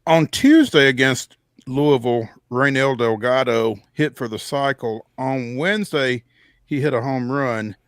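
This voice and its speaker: A man hosting a sports talk show, recapping scores and standings in measured phrases with frequent pauses. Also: mid-range voice, dry recording, clean studio sound.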